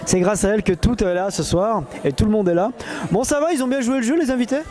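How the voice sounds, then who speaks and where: Fast-talking, high-voiced male party interviewer. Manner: jokey, teasing banter. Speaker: street-style party interviewer. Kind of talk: casual on-camera vox pop interview. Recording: loud party crowd and music behind. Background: party crowd chatter and music.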